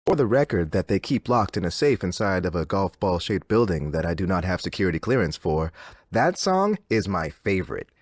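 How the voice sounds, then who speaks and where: Controlling, too-cheerful radio DJ; hugely swooping delivery, dry studio sound. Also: male voice, deep voice, sing-song, a little fast, dry recording. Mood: smooth, wistful. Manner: erratic, insistent cheer. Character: unsettlingly upbeat, controlling male radio DJ. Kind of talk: theatrical radio DJ patter.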